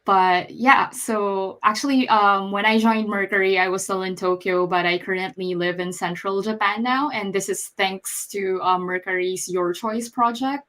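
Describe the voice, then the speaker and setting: A woman from the Philippines, a front-end software engineer, giving an upbeat, chatty self-introduction at an online panel. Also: mid-range voice.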